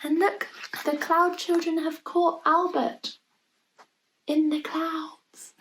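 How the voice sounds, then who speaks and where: A woman reading a picture book aloud for young children, playfully expressive, adding chatty asides and questions about the pictures, in a noisy home recording. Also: extremely high pitch, extremely slow. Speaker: storybook reader for young children. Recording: noticeable background noise, home online recording.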